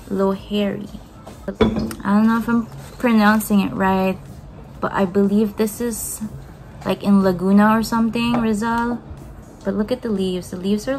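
A woman's voice: medium pitch, a little mellow, slight background noise, low-quality recording. A young woman from the Philippines, chatting in a casual, upbeat way as she unboxes plants in her home kitchen, speaking to a camera on the table in front of her. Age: young adult. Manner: chatty and upbeat, gushing, talks while handling plants. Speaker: plant vlogger.

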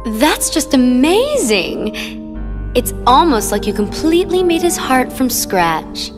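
Young female game villain: sadistic, mocking, lively sing-song taunts over clear music. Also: sits high, full character voice. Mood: mocking admiration. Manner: taunting, drawn-out mock sympathy. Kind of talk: video game cutscene acting.

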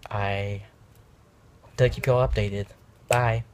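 A man's voice: on the low side, fairly even intonation, slow pace. A teenage or young adult man chatting casually to a phone held at arm's length, rambling hesitantly with lots of filler. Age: teens to young adult. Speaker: vlogger. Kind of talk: casual vlog chat to camera. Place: small rooms indoors at home.

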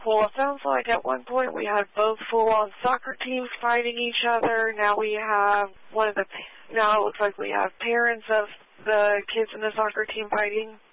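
A woman police dispatcher reading out call details over the radio in a steady, methodical way. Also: fairly high pitch, normal speed, creaky. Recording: muffled scanner radio.

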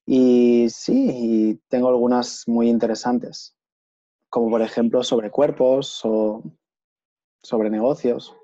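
Man, 30s, calm host with a mellow voice, unhurried and hesitant, muffled video-call sound. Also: medium pitch. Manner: relaxed, thoughtful, many hesitations. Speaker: host and certified facilitator. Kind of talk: casual video call interview. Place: small room at home. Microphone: earphone headset on video call. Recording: dull lo-fi video call audio.

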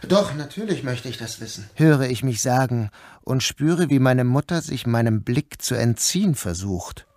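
An actor playing a troubled, dazed man who narrates his own day in a measured, literary radio drama style. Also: mid-range voice, animated, a little mellow, no room sound, studio quality, lightly acted. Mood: dazed, strained. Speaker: first-person narrator.

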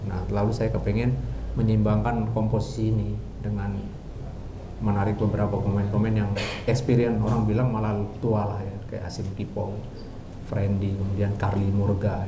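A middle-aged man, speaking as a football coach at a press conference in a measured, halting way with pauses. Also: lowish voice. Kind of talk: post-match press conference remarks. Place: indoor press conference room. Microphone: handheld microphone. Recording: roomy, noisy press room ambience.

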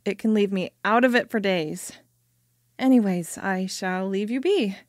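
A woman's voice: medium pitch, measured pace, no room sound, studio quality, natural voice. A young woman playing a sociable, insistent friend in an amateur audio drama. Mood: casual.

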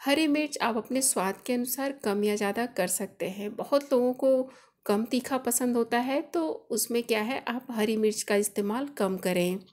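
A woman's voice: fairly high pitch, normal speed, darkish tone. A woman hosting a cooking channel, explaining each step of a recipe in a chatty, instructive voice-over. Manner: friendly instructive, chatty home-cook explaining.

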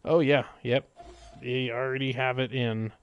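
A man's voice: medium pitch, steady tone, unhurried, smooth, muffled recording. A man doing casual live gameplay commentary in a playful, exclaiming way, often talking to himself. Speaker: game streamer.

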